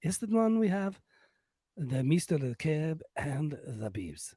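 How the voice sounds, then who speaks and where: A man plays a sultry Latin lover. His voice is husky and breathy, sometimes dropping to a whisper, and it swings playfully up and down as he haltingly flirts through broken English in a close, dry podcast sound. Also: fairly high pitch, sing-song, warm tone, no echo, voice pushed up, heavily acted. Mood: seductive, playful. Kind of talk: comic podcast sign-off in a put-on voice.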